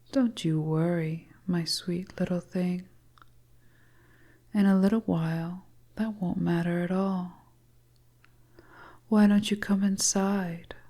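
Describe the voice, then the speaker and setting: A woman's voice: fairly low pitch, warm tone. Woman playing a whispering, seductive woodland witch. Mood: coaxing, beguiling. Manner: slow, breathy, coaxing whisper. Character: seductive, enigmatic woodland witch fortune-teller, teasing and beguiling. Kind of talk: hypnotic whispered roleplay.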